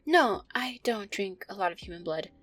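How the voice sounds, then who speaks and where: A woman playing a gentle, anxious, affectionate vampire girlfriend in an intimate roleplay audio, speaking softly, tenderly and hesitantly. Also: mid-range voice, expressive, normal speed, soft background music. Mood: relaxed, reassuring. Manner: soft, tender, hesitant, sighing delivery.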